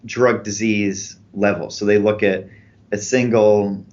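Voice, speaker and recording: Guest researcher, man, lowish voice, steady methodical explainer, muffled lo-fi audio. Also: slow pace. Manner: clear, methodical explaining with examples. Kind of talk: explanatory interview answers. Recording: dull lo-fi podcast audio.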